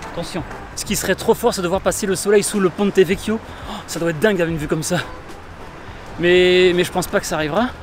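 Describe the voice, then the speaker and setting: A man's voice: high-pitched, fairly even intonation, quick pace, a little hiss or hum. A man walking outdoors in a city, chatting enthusiastically to his viewers in a casual travel vlog. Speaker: travel vlogger. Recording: music playing behind.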